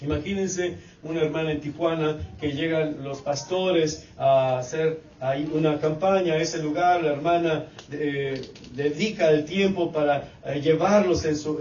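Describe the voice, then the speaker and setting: Preacher, man in his late 40s, high voice, repetitive cadence; distant, dull recording. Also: a little mellow, reverberant, slight background noise. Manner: repetitive preaching cadence, addresses congregation as brothers. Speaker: pastor. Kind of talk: sermon.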